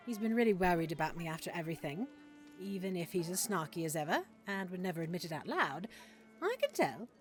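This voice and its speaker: A woman playing a warm, teasing half-elf merchant in an intimate audio roleplay, speaking playfully and affectionately. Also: lowish voice, big pitch swings, brisk, faint music behind. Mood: playful, friendly.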